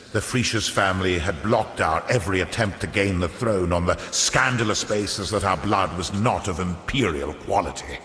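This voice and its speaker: An actor playing a wily, vain, centuries-old merchant lord in a video game, pompous and theatrical, with ornate aristocratic diction. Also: male voice, lowish voice, fairly bright tone. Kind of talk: scripted video game character monologue. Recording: echoey hall with long reverb.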